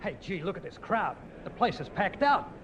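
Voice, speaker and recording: A man's voice: sits high, big pitch swings, moderate pace, over loud music. A man playing an easygoing, chummy sidekick in a 1950s radio comedy. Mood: chummy, impressed. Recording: muffled old radio recording.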